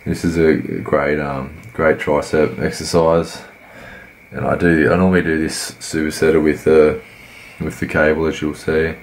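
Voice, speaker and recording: Very deep croaky-voiced young man. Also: level tone, moderate pace, slight background noise. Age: 20s. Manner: laid-back, rambling, many fillers and restarts. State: croaky from a cold. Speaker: fitness vlogger. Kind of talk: voice-over workout commentary.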